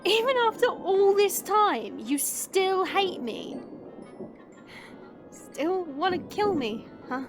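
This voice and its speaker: Woman playing a very high, brash demonic girl. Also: sing-song, takes it slowly, over music, heavily acted. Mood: weary defiance. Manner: sarcastic, theatrical, exclaiming and drawling. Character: brash, mocking young demonic woman, playful then dangerous.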